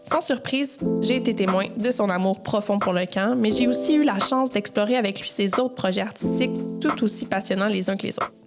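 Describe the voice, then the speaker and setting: A woman with a Quebec French accent, hosting a podcast in a casual, conversational interview style. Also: medium pitch.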